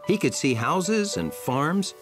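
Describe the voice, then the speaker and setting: A man's voice: on the high side, sing-song, slow pace, music in the background. A man narrating a children's cartoon in a playful, wry storytelling way. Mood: amused storytelling.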